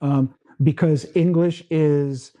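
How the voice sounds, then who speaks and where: A man from the Philippines, his first language Tagalog, co-hosting a podcast in casual chat, talking slowly and halting with many restarts. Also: a little high, fairly even intonation, mellow, no room sound. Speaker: podcast co-host.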